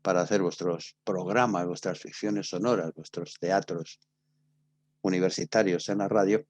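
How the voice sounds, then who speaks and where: An older man with a Castilian Spanish accent giving an introductory lecture as a teacher, speaking in short, halting phrases. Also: deep voice, fairly even intonation. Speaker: teacher giving a radio theatre workshop.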